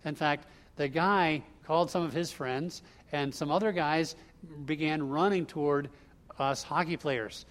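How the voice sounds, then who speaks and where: A man's voice: a little high, a little slow, fairly bright tone. A man preaching an expository sermon, explaining scripture line by line in a conversational way. He grew up in the US Northeast.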